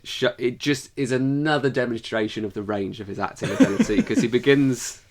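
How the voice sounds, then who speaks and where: A man's voice: medium pitch, brisk, darkish tone. A man co-hosting a podcast in casual chat, with a wry, offhand manner. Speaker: podcast co-host.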